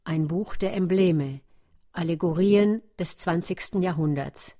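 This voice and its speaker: A woman's voice: fairly low pitch, a little slow, dark tone. A woman narrating a scripted documentary for radio.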